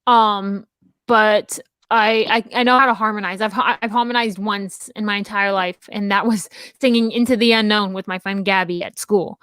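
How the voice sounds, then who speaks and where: A young woman with a high-pitched voice co-hosting a casual chat, rambling and chatty. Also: fairly even intonation, moderate pace, a bit bright, smooth, no room sound. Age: young adult. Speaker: podcast co-host.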